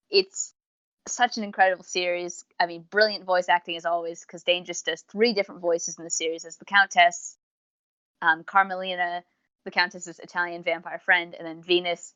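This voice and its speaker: A woman's voice: lowish voice, a bit bright, no room sound, muffled recording. A woman co-hosting a review podcast, chatting casually and effusively, rambling off into tangents. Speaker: podcast co-host reviewing audio dramas. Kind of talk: casual unscripted review chat.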